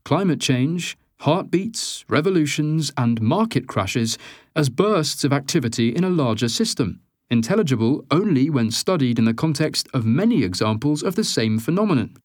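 A man reading scripted nonfiction as an audiobook narrator, with measured, articulate delivery. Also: mid-range voice, lively intonation, no room sound, studio quality.

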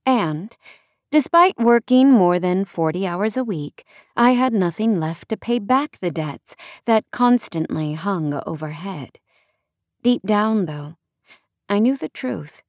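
A woman's voice: medium pitch, dry recording, clean studio sound. A woman narrating a first-person nonfiction audiobook in a conversational way, leaning on key words for emphasis.

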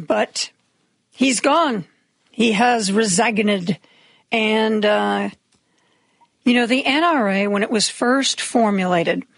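Woman radio host, raspy, gravelly, chatty opinionated news talk, slow. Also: mid-range voice, no echo. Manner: chatty, wry, opinionated asides. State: raspy voice from medication. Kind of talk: casual news commentary. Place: radio studio.

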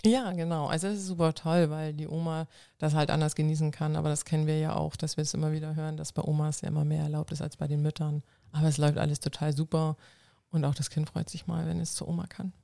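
Gravelly woman, earnest. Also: deep voice, level tone, fast talker, dark tone, dry recording, studio quality. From North Frisia, northern Germany. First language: Low German. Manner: earnest, explains at length with restarts. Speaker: guest, coach and civil servant. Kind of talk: casual interview answers.

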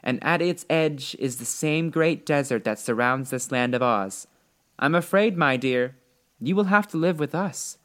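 A man's voice: on the high side, measured pace, fairly bright tone, voice pushed up. A man voicing a kindly little old woman, a good witch in a storybook, with a solemn, reassuring manner. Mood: sympathetic. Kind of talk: storybook character reading.

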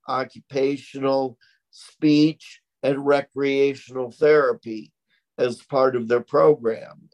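A middle-aged man talks in an interview at a very unhurried pace. His voice is loud and projecting, and he over-articulates each word with care. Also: mid-range voice, poor audio. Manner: slow, deliberate, over-articulated speech. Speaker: interview guest, stroke survivor. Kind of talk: conversational interview.

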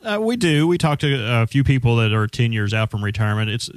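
A man's voice: mid-range voice, brisk, dry recording. A man speaking as a financial advisor on a radio show, conversational with frequent restarts. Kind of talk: casual radio talk.

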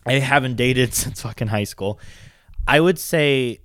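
A young man co-hosting a casual podcast, jokey and quick with interjections and swearing, with a whiny, piercing voice. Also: mid-range voice, a bit bright, clear, resonant tone, no echo, clean studio sound. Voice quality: self-described whiny, piercing voice. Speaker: podcast co-host. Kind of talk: casual chat.

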